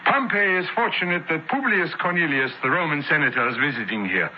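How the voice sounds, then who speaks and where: An actor playing a brisk, official Roman centurion with firm, clipped formal speech in an old-time radio drama. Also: male voice, a little high, lively intonation, close to an everyday voice. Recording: muffled, hissy old radio recording.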